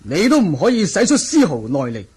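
A man acting a formal, forceful martial-arts fighter in a Hong Kong Cantonese radio drama, with a husky voice and emphatic, declamatory delivery. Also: high-pitched, huge pitch swings, fairly quick, heavily acted.

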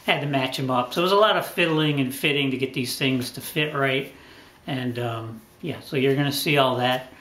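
Middle-aged man, medium pitch, casual workshop talk, lots of ums, roomy sound. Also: a bit bright. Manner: unhurried, frequent ums and uhs, thinking aloud. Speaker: boat restoration channel host. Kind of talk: casual talking-head explanation. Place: small indoor workshop corner.

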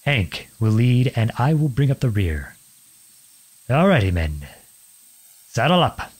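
A man acting a charming, teasing young outlawed prince in an ASMR-style romance roleplay, with a husky voice and a courtly, playful manner. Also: medium pitch, big pitch swings, slow, full character voice. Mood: brisk, commanding. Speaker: audio roleplay performer.

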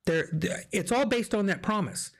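A man's voice: high-pitched, moderate pace. An American man in his fifties hosting a casual religious discussion, often repeating and rephrasing as he makes his points emphatically. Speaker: discussion host. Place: small indoor home studio, video call. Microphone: desk microphone up close.

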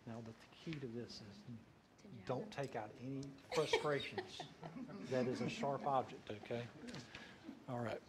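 A man's voice: mid-range voice, a little slow, pauses often, background noise. An older man, speaking at a public ceremony in a folksy way as the presiding official. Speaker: official presenting a promotion and administering an oath. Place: large indoor council chamber with audience. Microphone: stand microphone, sometimes stepping away.

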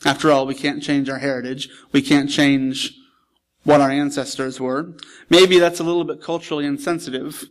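A man's voice: a little high, flat delivery, normal speed. A man preaching a sermon in a church, explaining scripture in a conversational, plainspoken way. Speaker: pastor. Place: church sanctuary.

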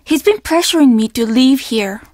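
A woman's voice: sits high, expressive, moderate pace, darkish tone, no echo, studio quality. A woman voicing an earnest, apologetic young innkeeper at a Japanese inn in a video game, speaking with formal politeness and an undertone of trouble. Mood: wistful, troubled. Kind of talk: video game character dialogue.

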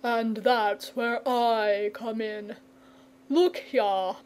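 A woman's voice: on the high side, fairly even intonation, drawn-out words, a little mellow, clean tone. Woman voicing a languid, drawling, coy dragon. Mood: smug, drawling. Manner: drawling, leisurely, testy when pressed. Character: languid, coy, fussy male dragon with artistic airs. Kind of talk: storybook character reading.

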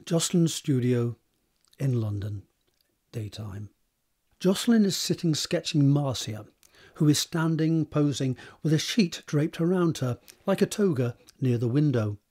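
Husky higher-pitched male narrator. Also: animated, measured pace, warm tone. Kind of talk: scripted narration of stage directions.

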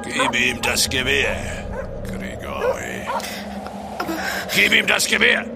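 An actor playing a menacing old vampire patriarch in an audio drama, imperious and querulous. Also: male voice, medium pitch, big pitch swings, slow, over loud music. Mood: imperious rage. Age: older. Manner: imperious, querulous, swinging between whisper and bark.

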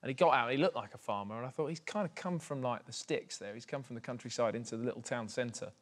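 A man's voice: mid-range voice, quick pace. A young male musician with an English accent, telling a laughing anecdote as a guest on a studio stage. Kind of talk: storytelling chat.

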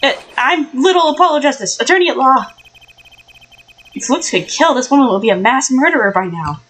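A woman's voice: sits high, expressive, a little fast, a bit bright. A woman voicing a wry young male lawyer in a game fan dub, dry and exasperated.

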